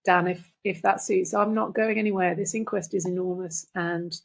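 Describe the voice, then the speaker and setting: An older woman, a podcast guest, talking reflectively and earnestly in deliberate phrases. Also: on the low side, steady tone, gravelly. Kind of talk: reflective conversational talk.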